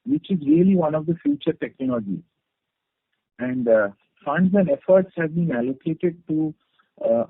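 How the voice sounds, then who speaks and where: A tinny phone line carries a man with an Indian accent and a husky, creaky voice. His intonation swings widely as he gives a business update to investors in halting, broken-up phrases. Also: a little high, no room sound. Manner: halting, choppy phrasing with frequent hesitations. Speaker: company executive. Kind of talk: semi-formal investor update.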